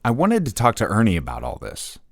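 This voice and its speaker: An actor playing a reflective, jaded podcast journalist, hosting with scripted narration. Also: male voice, fairly low pitch, wildly swooping pitch, fairly quick, no echo, exaggerated voice. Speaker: podcast host.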